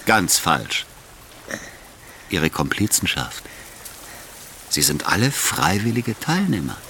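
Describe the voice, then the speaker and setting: An actor playing a smug, menacing Soviet party boss in a German radio drama, with a mocking, condescending and unhurried delivery. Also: male voice, low voice, sing-song, bright, forceful tone, slight background noise, heavily acted. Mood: smug, boastful.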